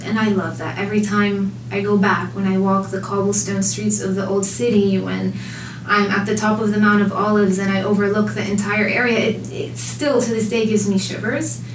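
A woman in her thirties from Jerusalem, answering interview questions in a thoughtful, measured way and livening up when she quotes others. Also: medium pitch, fairly even intonation. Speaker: guest, food writer. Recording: echoey room, distant mic, noisy.

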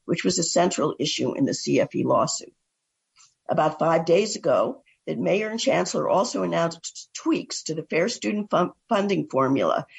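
Hoarse new lady doing a radio news recap, super gravelly, raspy. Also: low-pitched. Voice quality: gravelly and husky. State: hoarse from a cold. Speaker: radio show host.

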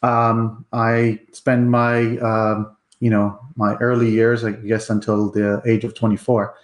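Man, Israeli accent, middle-aged, relaxed and halting, lots of ums, echoey room. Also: on the low side. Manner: relaxed, many ums and uhs, pace varies. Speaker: guest, company president. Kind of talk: casual interview.

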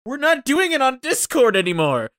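A man's voice: very high voice, sing-song, moderate pace, a bit bright, no room sound, studio quality. A man co-hosting a podcast, chatting casually about movies over a voice call. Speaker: podcast co-host. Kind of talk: casual film podcast chat. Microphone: voice call recording.

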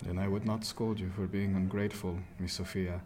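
An actor playing an earnest, protective ship's surgeon in a period radio drama, with formal, cautious phrasing. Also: male voice, sits low, level tone, fairly quick, darkish tone, lightly acted. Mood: grave, measured. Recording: clearly noisy, ambient sound behind.

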